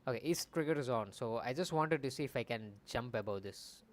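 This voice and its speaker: A man's voice: medium pitch, expressive, brisk. A young man casually streaming as he builds a game, rambling and correcting himself as he thinks aloud at a desk mic. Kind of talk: casual live coding commentary.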